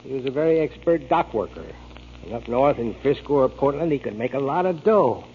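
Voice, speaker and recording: An actor playing a sly, menacing crook who needles and insinuates in 1940s radio drama style. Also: male voice, medium pitch, lively intonation, fairly quick, natural voice. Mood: sly, threatening. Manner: needling, insinuating tough talk. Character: menacing, sly dockside crook, a blackmailing man. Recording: muffled, noisy 1940s radio broadcast.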